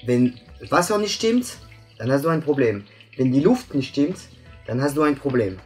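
A man from France talks through a technical topic in accented German, pausing on filler sounds as he searches for words. His voice has a husky edge at a middling pitch, and soft music plays faintly behind the conversation. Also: sing-song. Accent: French. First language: French. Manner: hesitant, many "äh" fillers, explaining step by step. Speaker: guest expert. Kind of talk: explanatory interview chat. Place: bright office room with plants.

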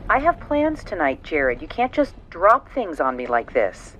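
A woman's voice: lowish voice, wildly swooping pitch, unhurried, a bit bright, full character voice, rough recording. An actress as a weary estranged wife in an audio drama. Her voice is curt and exasperated, with a lot of rise and fall. Some noise sits behind her. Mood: irritated.

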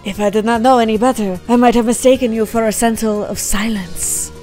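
A woman's voice: on the high side, fairly even intonation, brisk, a little mellow, soft background music, lightly acted. A woman voicing a flamboyant male dancer boss in a game, with a put-on Mexican accent and a theatrical, declaiming delivery. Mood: grandiose.

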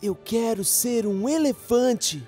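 A woman's voice: fairly high pitch, slow, music in the background. A woman voicing a cheerful, helpful young male tank engine in a Brazilian Portuguese children's cartoon dub, exclamatory and enthusiastic. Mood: friendly, confident. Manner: bright-eyed, enthusiastic, exclamatory.

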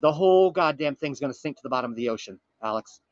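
A man playing a frantic, earnest time traveler from the future on a prank call, urgent, pleading and halting with dramatic pauses. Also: mid-range voice, animated, no room sound, muffled recording, natural voice. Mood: grave warning. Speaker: prank caller. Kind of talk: improvised comic prank phone call.

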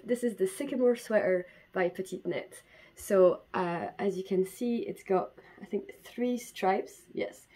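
A woman's voice: medium pitch, mellow. A woman in her twenties from Belgium, chatting casually to camera at home as a knitting podcast host, with frequent fillers and restarts. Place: bedroom at home, indoors. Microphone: camera in front at desk distance.

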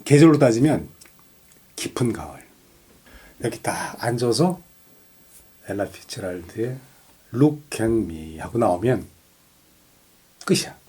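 A man's voice: on the low side, very animated, slow pace, pauses often, mellow, breathy edge. A middle-aged man in casual conversation as a co-host of a music talk show, speaking effusively and repeating himself for emphasis. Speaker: podcast co-host.

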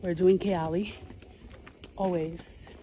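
A young woman talking casually to her phone camera at arm's length outdoors, chatty and saying y'all a lot, with a voice that is going hoarse. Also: on the low side, fairly even intonation, slow pace, very noisy. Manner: chatty, says y'all and like often. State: losing her voice. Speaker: travel vlogger. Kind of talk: casual vlog chat to camera. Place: outdoors, sunny hillside villa terrace. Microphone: phone camera held at arm's length.

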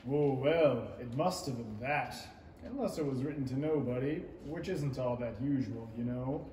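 Young guy doing a pompous dithering storybook king, mellow n slow, radio play in an echoey hall. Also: medium pitch, lightly acted. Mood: muddled, pompous. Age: young adult. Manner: hemming, musing, pompous. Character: muddled, self-satisfied, doddering storybook King. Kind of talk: 1930s radio play acting. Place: indoor stage in a small theatre. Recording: echoing stage pickup, faint noise.

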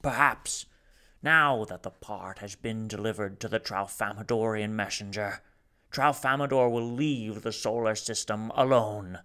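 A man doing a serene glottal-tenor gentleman. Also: on the low side, measured pace, clean tone. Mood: calm.

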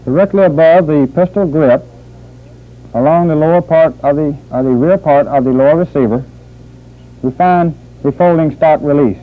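A man working as a military instructor, narrating a training film in a formal, deliberate, measured manner. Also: a little high. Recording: muffled phone-like old training film soundtrack.

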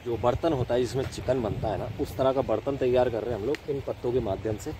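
A man's voice: mid-range voice, fast. A man in his thirties from India, chatting casually to viewers as he cooks outdoors by a river, filmed on a phone held at arm's length. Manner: chatty, addresses viewers as friends. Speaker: vlogger. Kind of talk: casual outdoor cooking vlog. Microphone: phone camera at arm's length. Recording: noisy outdoor phone recording.

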